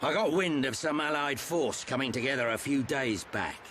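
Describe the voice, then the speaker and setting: An actor playing a gruff, teasing older man who trades gear, in a video game cutscene. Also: mid-range voice, lively intonation, moderate pace, a bit bright, a little hiss or hum, natural voice.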